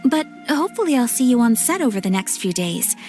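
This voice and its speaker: A woman playing a gentle, polite young noblewoman in a video game, with a gracious and formal manner. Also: a little high, sing-song, brisk, faint music behind. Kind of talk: video game character acting.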